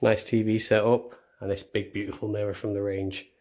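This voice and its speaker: A young man with a fairly low voice shows viewers around a house. He talks casually and chattily, with self-deprecating jokes, as he walks from room to room filming on a phone. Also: level tone, brisk, darkish tone. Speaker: vlogger. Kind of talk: casual house tour narration. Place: indoors, walking through rooms of a house. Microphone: handheld phone camera.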